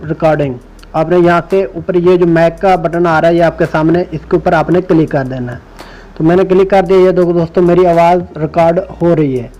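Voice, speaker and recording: A high-voiced man hosts an informal, step-by-step app tutorial. His delivery stays quite flat in pitch. Soft music plays behind him. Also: lo-fi sound. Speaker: tech tutorial host.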